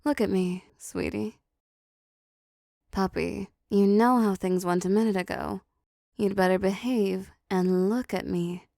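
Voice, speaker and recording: A woman playing a seductive, possessive female demon in an intimate roleplay audio drama, talking slowly and teasingly to the listener with condescending endearments. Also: mid-range voice, steady tone, vocal fry, mellow, no echo, clean studio sound. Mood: condescending, controlling.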